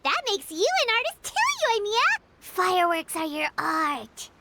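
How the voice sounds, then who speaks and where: A woman voicing a tiny, excitable, childlike fairy companion in a video game, squeaky and exclamatory. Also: a little slow, very noisy, heavily acted. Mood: curious. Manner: exclamatory, speaks of herself in third person. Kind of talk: video game cutscene dialogue. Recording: music behind the voice.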